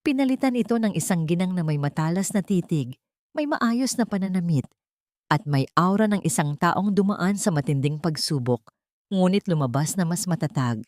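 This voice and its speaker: A woman narrating a scripted dramatic story in a measured, solemn storyteller's delivery. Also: lowish voice, animated, a little mellow, no room sound, studio quality.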